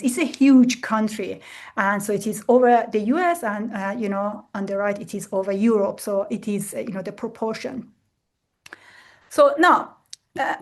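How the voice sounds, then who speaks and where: Slightly high-voiced woman lecturing with fillers. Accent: Iranian. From Iran. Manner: measured, many fillers. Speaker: speaker giving a talk. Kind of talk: lecture with slides.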